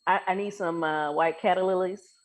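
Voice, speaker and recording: A woman's voice: on the low side, fairly even intonation, moderate pace. A woman speaking as a guest coach in a casual podcast conversation, earnest and colloquial, with emphatic phrasing.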